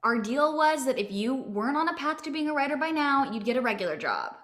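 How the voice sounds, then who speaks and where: Woman acting blunt ex-girlfriend, thirty, highish voice. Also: very animated, quick pace, fairly bright tone. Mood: exasperated, blunt. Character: blunt, exasperated thirty-year-old ex-girlfriend. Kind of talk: casual comedy script table read.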